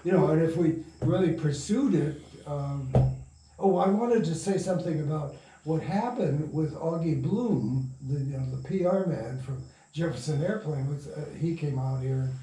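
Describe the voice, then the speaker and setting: An older man being interviewed, telling stories in a halting, rambling way with trailing thoughts. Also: on the high side, animated, mellow. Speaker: interview guest, radio play producer. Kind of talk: casual reminiscing interview. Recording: roomy, distant mic, faint noise.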